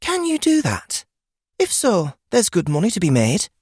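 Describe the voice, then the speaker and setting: A woman's voice: on the low side, huge pitch swings, normal speed, darkish tone, husky, no room sound, studio quality, heavily acted. A woman voicing an earnest, anxious wife and mother who is a novice in a fantasy world, for an audiobook. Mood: curious. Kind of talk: fantasy audiobook character dialogue.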